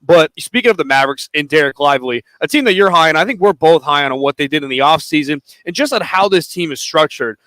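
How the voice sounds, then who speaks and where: A man's voice: fairly high pitch, fast, hardly any pauses, dry recording, poor audio. Man reading betting odds on radio. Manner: steady, setting up topics with odds. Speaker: sports radio host. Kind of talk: sports betting radio talk. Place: radio studio. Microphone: studio microphone.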